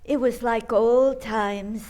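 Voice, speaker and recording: A woman's voice: on the high side, fairly even intonation, slow pace, mellow. A woman playing a kindly, dotty old aunt in an old-time radio comedy, cosy, innocent and fussily precise.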